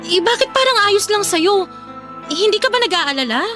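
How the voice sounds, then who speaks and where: A woman's voice: very high voice, sing-song, a little fast, pushed and bright, soft background music, heavily acted. A woman playing an anxious, talkative younger sister in a Filipino radio drama, earnest and easily startled. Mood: shocked, disbelieving.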